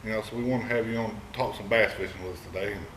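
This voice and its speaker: A man's voice: lowish voice, fairly even intonation, racing, a bit bright, far from the mic. A man hosting the show, chatting in a friendly, easygoing, folksy way. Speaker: host. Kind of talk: casual chat. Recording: echoey room, mic held back, faint noise.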